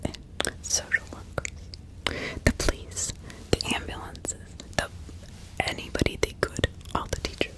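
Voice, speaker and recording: A woman whispering ASMR true-crime commentary in a breathy, unvoiced, conversational way with emphatic repetition. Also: a little slow, pauses often, low-quality recording. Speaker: ASMR host.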